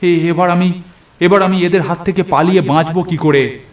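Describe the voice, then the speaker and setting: A man's voice: sits high, normal speed, voice pushed up, lightly acted. A man voicing a terrified young nephew in a horror cartoon, stammering and frantic. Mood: panicked. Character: terrified young nephew trapped among ghouls. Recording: echoey voice over music.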